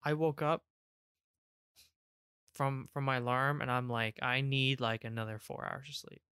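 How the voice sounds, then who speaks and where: Casting: mid-pitched, smooth, relaxed man; easygoing co-host, dry booth sound. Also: unhurried, no room sound. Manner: laid-back, dry quips. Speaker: podcast co-host. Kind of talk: casual chat.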